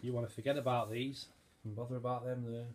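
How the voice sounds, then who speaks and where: A man's voice: medium pitch, level tone, fast talker, noisy background. A middle-aged man, a father making casual offhand remarks from off camera in a living room.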